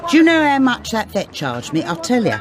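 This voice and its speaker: An actress playing a sarcastic, grumbling working-class market stallholder in a radio drama. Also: female voice, on the high side, wildly swooping pitch, fairly quick, a crowd in the background. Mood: indignant. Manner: indignant, complaining, colloquial.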